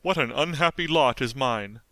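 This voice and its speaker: A man's voice: mid-range voice, moderate pace, sharp tone. A man voicing a timid, fearful pumpkin-headed figure in a storybook reading. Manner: worried, plaintive.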